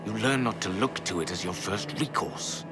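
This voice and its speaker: Man acting weary dignified old warrior guy from a game, really low voice, solemn confessions, loud music behind. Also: normal speed, lightly acted. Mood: remorseful. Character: regretful old male inquisitor and hunter, weary, dignified.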